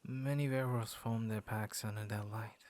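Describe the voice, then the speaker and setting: A man playing a gentle, lonely young werewolf in an intimate ASMR roleplay, speaking softly and often slipping into a breathy whisper. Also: fairly low pitch, steady tone, close to an everyday voice. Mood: soft, confiding. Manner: soft, breathy, often dropping into whispers, hesitant pauses. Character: gentle, lonely young male werewolf turned tender suitor. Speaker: voice actor in audio roleplay. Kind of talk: ASMR boyfriend roleplay, intimate scripted monologue.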